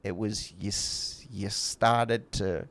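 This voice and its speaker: A man's voice: lowish voice, steady tone, slow, fairly bright tone, no room sound. A man co-hosting a podcast in casual, rambling conversation, blunt and opinionated, with frequent swearing. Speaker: podcast co-host.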